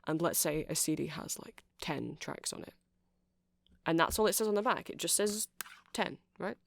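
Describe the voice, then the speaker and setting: A woman's voice: low voice, moderate pace, gravelly, dry recording. A woman giving a rambling, chatty ASMR demonstration, explaining things step by step. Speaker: ASMR creator.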